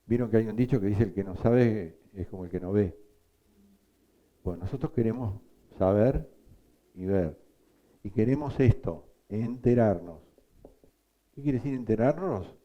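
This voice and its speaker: A man teaching a psychoanalysis class, explaining slowly and quizzing his students. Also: on the low side, highs cut off. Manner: slow, explanatory, asks the class questions. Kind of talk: lecture.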